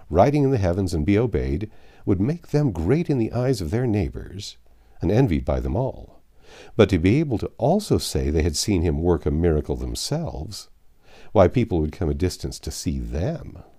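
An older man narrates a classic novel aloud. His voice is low and mellow, with lively, swooping intonation. He phrases it like a storyteller, pausing for dramatic effect. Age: 50s or 60s. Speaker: audiobook narrator. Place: small home library, indoors.

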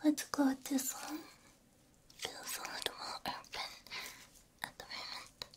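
Slow, whispering ASMR woman. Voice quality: breathy, mostly unvoiced whisper. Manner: gentle, soothing, reassuring. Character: caring technician repairing a robot's ears. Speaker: ASMR roleplay performer. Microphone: binaural ASMR microphone, very close. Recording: close binaural microphone, faint noise.

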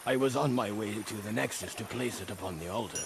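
Gravelly, courteous old warrior. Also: male voice, fairly low pitch, a loud crowd. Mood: dutiful, earnest. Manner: formal, courteous, measured. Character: earnest elderly warrior, courteous champion on a long quest. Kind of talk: video game cutscene acting. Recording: loud game music behind.

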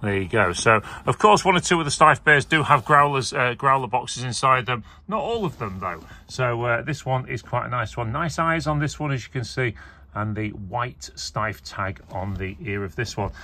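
A man's voice: medium pitch, expressive, moderate pace, sharp tone. A man showing auction lots to a handheld phone camera indoors, chatting casually with lots of fillers. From England. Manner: chatty, rambling, with many fillers. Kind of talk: casual video walkthrough. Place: indoors beside a leather armchair.

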